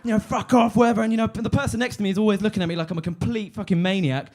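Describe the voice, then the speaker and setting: A man in his twenties doing a deadpan stand-up set on a comedy club stage, halting with fillers and restarts. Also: high-pitched. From London. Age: 20s.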